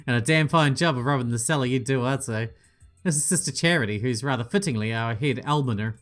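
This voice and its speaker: A man reads a mystery visual novel aloud, giving each character a voice and adding cheeky asides. His pitch sits mid range. Soft game music plays under him. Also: fast talker. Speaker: gaming channel host.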